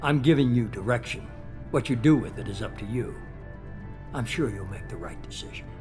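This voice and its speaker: A man's voice: mid-range voice, expressive, darkish tone, close to an everyday voice. A man playing a calculating, commanding shadowy leader. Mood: cool, commanding. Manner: measured, smooth, authoritative. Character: calculating middle-aged male shadowy organization leader, cool and commanding. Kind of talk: video game dialogue. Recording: game music behind.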